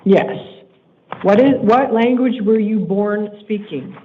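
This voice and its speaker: A man acting as judge in a court hearing. He speaks in a stern, measured way and repeats himself when he is pressing a point. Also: sits high, a little hiss or hum. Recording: tinny court livestream audio.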